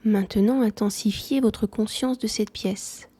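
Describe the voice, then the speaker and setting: A woman leading a guided yoga nidra relaxation, speaking softly in a calm, soothing manner. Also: mid-range voice, a little slow, slightly rough.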